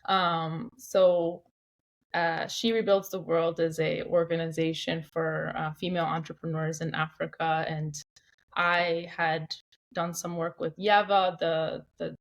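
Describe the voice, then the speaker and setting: A woman interviewer speaking in a conversational way, feeling out her phrases with hesitant pauses. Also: low-pitched, flat delivery, gravelly.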